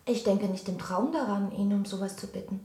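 An actress playing a well-born young newlywed wife in a German radio drama, questioning, firm and reproachful. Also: female voice, medium pitch, fairly quick, mellow, natural voice. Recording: echoey room with clear background noise.

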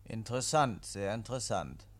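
An actor playing a calm, suspicious police inspector in a 1950s radio play, politely and persistently questioning. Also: male voice, on the low side, normal speed, natural voice. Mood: probing, composed. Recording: vintage 1950s radio recording.

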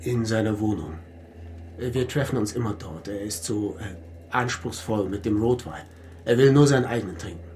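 A man playing an earnest, defensive artist under questioning in a radio crime drama, halting and emphatic. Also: lowish voice, a little mellow, a little hiss or hum, close to an everyday voice. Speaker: actor.

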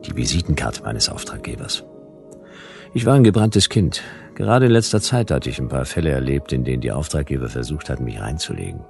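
An actor playing a wry, world-weary private detective in a sci-fi radio drama, with laconic, deadpan narration and dialogue. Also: male voice, rumbling low, brisk, gravelly, soft background music, heavily acted. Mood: dry, wry.

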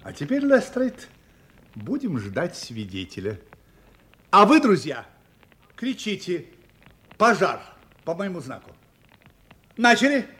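An actor playing a brilliant, playful detective in a Russian audio drama, polite yet commanding and theatrically emphatic. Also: male voice, high-pitched, huge pitch swings, slow, pauses often, a bit bright, slight background noise, heavily acted. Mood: excited, theatrical.

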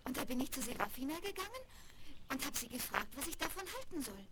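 A woman playing a warm-hearted, superstitious young circus girl in a 1970s German children's radio play. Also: high-pitched, sing-song, fast. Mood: storytelling. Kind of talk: 1970s German children's audio drama acting. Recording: very noisy vinyl record transfer, clicks.

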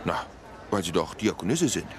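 An actor playing a scheming police detective who talks casually and cajolingly in a radio play. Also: male voice, sits low, very animated, normal speed, crowd noise. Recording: clear background noise and ambience.

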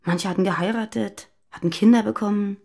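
A woman playing a fearful, guarded former militant on the run in a naturalistic radio drama, confiding and halting. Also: mid-range voice, steady tone, gravelly, mellow.

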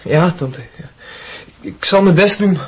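An actor playing a defensive, hot-tempered young student in a radio drama, with a Netherlands Dutch accent and a husky voice. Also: male voice, high-pitched, a little slow. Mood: contrite. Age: young adult.

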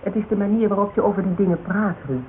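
A woman's voice: medium pitch, fairly even intonation, fast talker, lightly acted. An actress playing a frank, sarcastic, worldly woman in a Dutch radio drama. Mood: annoyed, frank. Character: worldly, plain-spoken woman, blunt and teasing. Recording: tinny band-limited radio recording, faint hiss.

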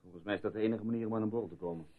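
Dry, sceptical male lead acted by a man, fairly low voice, laconic and quietly commanding; tinny vintage radio drama sound. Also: steady tone, rapid-fire, lightly acted. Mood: brisk. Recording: muffled phone-like old radio play.

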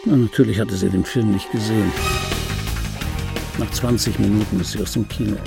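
A male actor playing a vain, aggrieved middle-aged dubbing actor in a German radio drama monologue, insistent and repetitive, with a raspy voice. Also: on the low side, fairly even intonation, a little fast, loud music behind the voice. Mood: irritated. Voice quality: raspy, gravelly. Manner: insistent, repetitive, self-justifying. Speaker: narrator of a radio play. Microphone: close studio microphone, dry.

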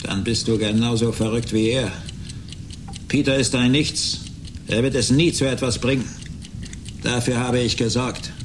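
A man's voice: medium pitch, steady tone, moderate pace, pauses often, a little hiss or hum, natural voice. A man playing a vengeful, contemptuous millionaire in a German radio play, speaking with cutting scorn. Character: vengeful, contemptuous male millionaire, taunting his old rivals.

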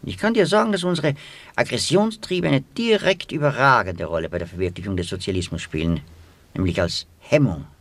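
An actor playing an earnest, long-winded socialist intellectual in a radio drama, lecturing pedantically and haltingly. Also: male voice, medium pitch, no echo, close to an everyday voice.

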